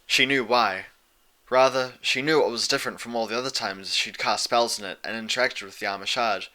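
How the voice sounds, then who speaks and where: A man's voice: mid-range voice, a little fast, pushed and bright, rough recording. A man reading scripted story narration as an audiobook narrator.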